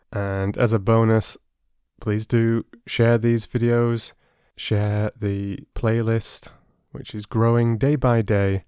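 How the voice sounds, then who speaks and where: A man with a lowish voice and a very unhurried pace, speaking as a storybook narrator and host. He is playful and expressive, and the sound is dry and close. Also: smooth, no echo. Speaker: audiobook narrator and channel host. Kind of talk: storybook narration and casual chat to listeners.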